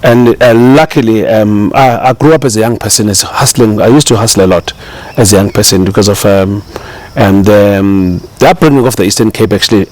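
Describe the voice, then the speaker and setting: A middle-aged South African man with a fairly low voice tells his story in a loose, rambling way. He circles back often, full of fillers and restarts, relaxed and unhurried as an interview guest. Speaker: chef, guest. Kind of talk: casual interview talk.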